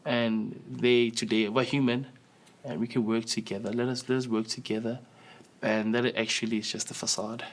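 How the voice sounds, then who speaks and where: A man argues a critical point in a documentary interview. His voice is gravelly. He speaks in an even, flat tone. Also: mid-range voice, normal speed. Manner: critical, argumentative.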